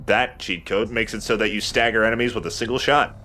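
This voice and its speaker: Bright-voiced, low-pitched man commentating casually. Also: steady tone, fairly quick, a little hiss or hum. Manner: joking, profane. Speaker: let's play host playing the game. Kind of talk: casual banter and game commentary.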